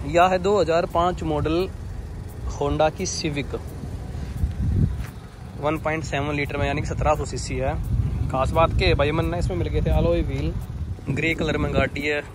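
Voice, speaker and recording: A young man from India with a Haryanvi accent, slightly hoarse, chatting casually to the camera outdoors in the snow, explaining things to his viewers as brother. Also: on the high side, steady tone, a little slow, pauses often, very noisy. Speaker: vlogger. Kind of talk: casual vlog talk to camera. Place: outdoors in snowy weather by his car.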